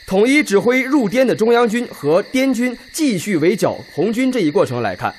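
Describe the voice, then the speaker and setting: A man playing a forceful, sceptical Red Army officer in a radio drama, with emphatic, declamatory delivery. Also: sits high, very animated, moderate pace, slight background noise. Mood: urgent, doubtful. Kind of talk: Chinese radio drama acting.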